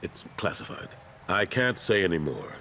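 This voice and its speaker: An older man acting a dutiful military statesman in a video game, measured, earnest and resigned. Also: low-pitched, expressive, noisy background. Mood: guarded, grave.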